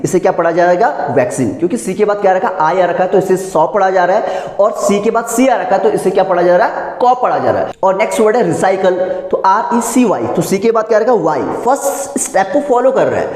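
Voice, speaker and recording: A man's voice: high voice, a little mellow. Indian man teaching in an echoey room. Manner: didactic, repeatedly asks questions and answers them. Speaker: English pronunciation teacher. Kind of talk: classroom lesson in spoken English. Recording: echoey room with long reverb.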